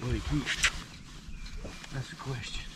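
A man fishing from a bass boat, muttering and coaxing the fish as he films his day on the lake. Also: steady tone, measured pace. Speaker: angler, channel host. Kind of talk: casual on-the-water commentary. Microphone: action camera on the boat. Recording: distant outdoor camera audio with wind and boat noise.

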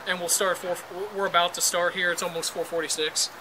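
A man's voice: high-pitched, level tone, brisk. A man in his twenties doing improvised comedy and casual chat, physically active as he acts things out outdoors. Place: outdoors on a park lawn. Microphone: camera a few meters away. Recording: noisy outdoor camera audio.

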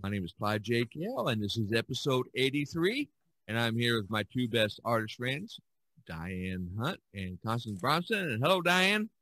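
An American man hosting a casual podcast chat, speaking in halting, hesitant phrases. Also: medium pitch, big pitch swings, sharp tone, slight background noise. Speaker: podcast host.

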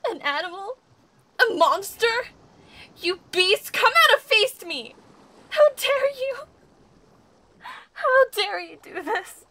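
An actress playing a grief-stricken, vengeful daughter, raging and anguished in an audio drama. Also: female voice, very high-pitched, sing-song, slow pace, long pauses, sharp tone, heavily acted. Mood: enraged.

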